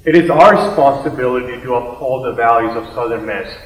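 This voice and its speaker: A man's voice: mid-range voice, a little fast. Young man reciting in an echoey hall. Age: young adult. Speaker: student performer. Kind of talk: scripted readers theater recitation. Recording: echoey hall, faint background noise.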